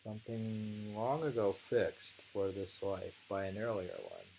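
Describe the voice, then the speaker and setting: A man reading poetry aloud and commenting casually, in a halting, musing way that circles back over phrases. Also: on the low side, steady tone, gravelly, darkish tone, a little hiss or hum, poor audio.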